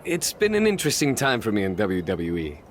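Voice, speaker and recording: Good-natured, low-voiced wrestler. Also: male voice, wildly swooping pitch, fairly quick, a little hiss or hum. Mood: confiding. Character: calm, good-natured male lucha libre pro wrestler. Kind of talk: video game cutscene dialogue.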